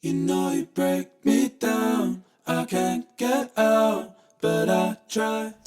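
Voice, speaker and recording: A woman's voice: mid-range voice, slow pace, over loud music. A woman singing pop vocal lines and harmonies, tightly edited and autotuned into an electronic, vocoder-like sound.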